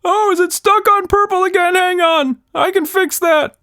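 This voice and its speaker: Playful storybook monster, very high voice, madcap, excitable, exclamatory. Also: male voice, moderate pace, no echo, clean studio sound, full character voice. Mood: breezy, chipper. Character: zany purple shape-shifting monster, excitable and playful.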